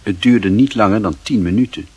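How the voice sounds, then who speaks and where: Husky low-voiced male narrator. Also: fairly quick. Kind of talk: scripted literary narration of a radio play.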